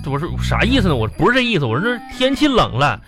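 A man's voice: sits high, huge pitch swings, rapid-fire. A man playing a henpecked middle-aged husband with a Northeastern Chinese accent in a comic radio drama, coaxing and mock-earnest. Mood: wheedling. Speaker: radio comedy host. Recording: music playing behind.

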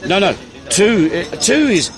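A man's voice: high voice, expressive, unhurried. A British man co-hosting a casual chat podcast, teasing and insistent, often restarting his sentences. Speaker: podcast co-host.

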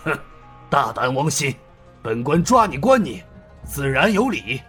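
A man's voice: medium pitch, big pitch swings, unhurried, fairly bright tone, slightly rough, soft background music, exaggerated voice. A man voicing a stern, scheming county magistrate with a commanding, sneering way of talking.

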